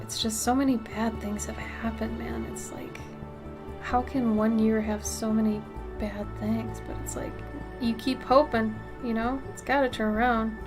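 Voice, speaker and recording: A woman in her late thirties talks casually with her stream chat while she paints, frank and rambling, her voice sitting a little high. Soft music runs faintly behind her. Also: level tone, unhurried. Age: 30s. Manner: chatty, reads and answers chat, frank and loose.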